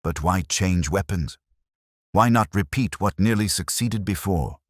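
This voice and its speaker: Male narrator doing a loyal puzzled sidekick gentleman, really low voice, earnest and formal, audiobook story. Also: unhurried, no echo, clean studio sound. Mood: bewildered.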